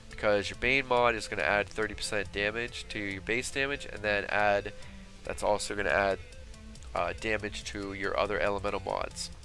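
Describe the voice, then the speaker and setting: Man, stuffy head cold, lowish pitch, bright tone, casual game commentary, quiet music behind. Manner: relaxed, chatty, with fillers and quick asides. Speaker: gaming YouTuber.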